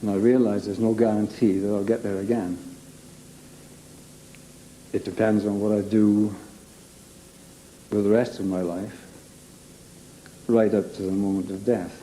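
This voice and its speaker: Very dark-voiced man, slow and halting. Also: on the low side, level tone, slight background noise, rough recording. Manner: halting, emphatic, repeats phrases for weight. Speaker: interviewee giving a personal account. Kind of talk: unscripted personal testimony.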